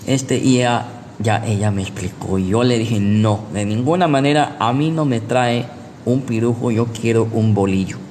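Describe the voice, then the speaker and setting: A man's voice: medium pitch, warm tone. A man with a Guatemalan accent preaching in a didactic way, repeating his points and checking in with short rhetorical questions. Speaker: pastor, preacher. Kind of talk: sermon, teaching with personal anecdotes. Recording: echoey hall with long reverb, background noise.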